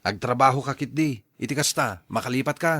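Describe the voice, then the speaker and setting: A man's voice: mid-range voice, a little fast, a bit bright, slightly rough, dry recording, studio quality. A man with an Ilocano accent acting a blunt, scolding male friend in a radio drama, emphatic and exhorting.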